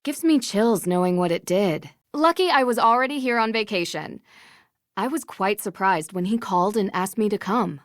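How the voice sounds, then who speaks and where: Give this game heroine a youthful, inquisitive spirit, as voiced by an actress. She is a courteous, plucky female detective with an ordinary mid-range pitch. The sound is very dry and close. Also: expressive, normal speed, dry recording, close to an everyday voice. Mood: friendly, curious. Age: young adult. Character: curious young American woman detective, polite and plucky. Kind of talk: scripted adventure game dialogue.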